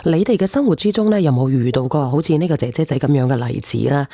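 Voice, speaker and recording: Low dark voiced lady hosting a live call-in chat, very dry close sound, keeps asking listeners stuff. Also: animated, fast, no echo. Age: 40s. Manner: addresses listeners with questions. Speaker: call-in show host. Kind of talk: casual livestream advice chat.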